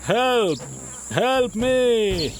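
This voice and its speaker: A man voicing a proud, blustering lion in a pompous, theatrical way for an animated children's story. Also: very high-pitched, drawn-out words, slight background noise, exaggerated voice. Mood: distressed. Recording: music behind the voice.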